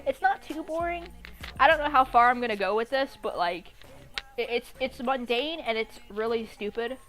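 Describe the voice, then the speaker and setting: A woman's voice: on the high side, normal speed, fairly bright tone. A young woman casually reading and mocking fan fiction, with an irreverent, sweary, giggly manner. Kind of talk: casual commentary on a read-aloud story. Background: background music.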